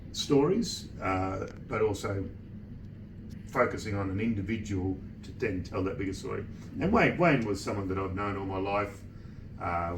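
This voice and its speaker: Man, author, relaxed and reflective, lowish voice, roomy echo, distant mic, audible background noise. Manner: relaxed, reflective and plain-spoken. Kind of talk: speaking at a book launch. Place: bookshop event room. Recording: echoey room, distant mic.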